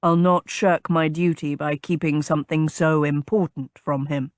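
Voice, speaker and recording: A woman reading the part of a stiff, formal male guard captain in a deep, clipped voice. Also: steady tone, normal speed, no room sound, clean studio sound, natural voice. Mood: dry.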